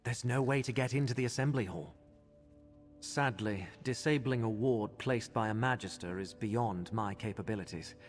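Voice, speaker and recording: A man's voice: medium pitch, normal speed, soft background music, close to an everyday voice. Courteous, earnest curate, played by a man. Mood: worried. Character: courteous, earnest male curate in a fantasy world. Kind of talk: video game voice acting.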